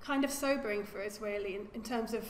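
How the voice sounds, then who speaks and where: A woman archaeologist giving an academic lecture at a lectern, speaking in a measured way and often repeating words as she corrects herself. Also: medium pitch. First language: English. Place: lecture room. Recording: echoey lecture hall with faint hum.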